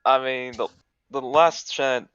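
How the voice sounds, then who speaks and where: Australian male guest, very smooth clean voice, hesitant casual delivery, dry but muffled chat audio. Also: medium pitch, fairly even intonation, slow, fairly bright tone, no room sound. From Melbourne, Australia. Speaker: livestream guest. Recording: dull, band-limited voice chat audio.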